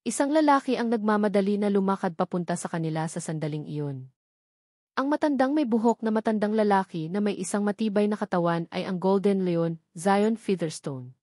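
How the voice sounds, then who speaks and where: A woman's voice: mid-range voice, lively intonation, a little fast, mellow, dry recording, studio quality. A woman reading a novel aloud as a scripted story narration. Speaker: audiobook narrator.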